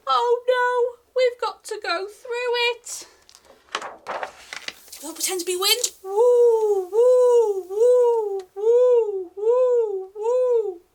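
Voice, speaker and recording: A woman reading a children's picture book aloud in an expressive, singsong way, speaking directly to young listeners as a library storytime reader. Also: extremely high pitch, drawn-out words.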